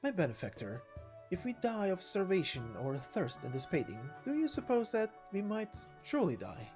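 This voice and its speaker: A woman's voice: lowish voice, sing-song, normal speed, music in the background. A woman voicing a courteous, doubtful companion in a game story, speaking politely and formally in wordy phrases. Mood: humble worry.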